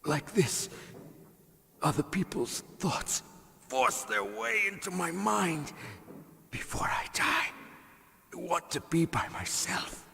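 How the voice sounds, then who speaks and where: An actor playing an eerie, dying psychic villain in a video game, speaking in slow, hushed, whispery tones. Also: male voice, high voice, lively intonation, gravelly, full character voice. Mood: fading. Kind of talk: video game cutscene acting. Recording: reverberant game audio with faint noise.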